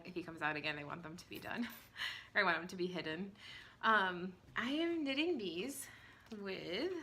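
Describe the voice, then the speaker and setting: A woman in her thirties hosting a knitting podcast from home, chatting casually with many ums and small laughs, her voice hoarse from a cold. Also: medium pitch, bright, forceful tone, a little hiss or hum. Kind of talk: casual chat to camera. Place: at home, indoors.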